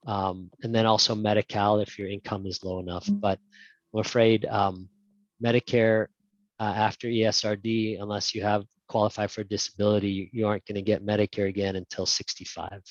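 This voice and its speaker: A man answers questions in a casual webinar, his voice on the low side. He hesitates a lot, filling gaps with ums and restarting sentences. The audio is dry and a little muffled, typical of a video call. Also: steady tone, normal speed, no room sound. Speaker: guest speaker on insurance.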